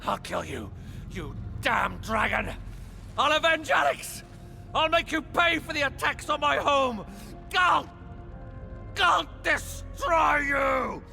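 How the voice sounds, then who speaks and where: An actor playing an earnest, driven knight in a fantasy video game, with an urgent, emphatic delivery. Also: male voice, extremely high pitch, lively intonation, slow, vocal fry, bright, forceful tone, shouting, soft background music, full character voice. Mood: furious. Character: earnest, driven male knight, vengeful young warrior. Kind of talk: dramatic video game acting.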